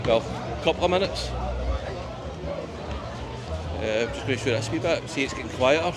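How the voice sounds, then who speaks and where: A man's voice: medium pitch, expressive, fast. Chatty Scottish man on a noisy promenade. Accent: broad Scottish. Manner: chatty, rambling, uses wee and aye, many ums. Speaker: travel vlogger. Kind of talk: casual walking-tour vlog. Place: busy outdoor seaside promenade. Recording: noisy outdoor crowd with music. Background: crowd chatter and festival music.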